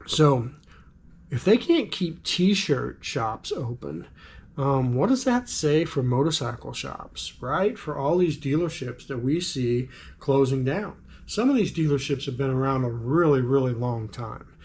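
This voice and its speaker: A middle-aged man talks casually to camera in his vlog, thinking aloud with plenty of ums. His voice is raspy from a cold, set at an ordinary middle pitch. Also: expressive, measured pace, darkish tone. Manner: musing, frequent ums. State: has a cold. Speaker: vlogger.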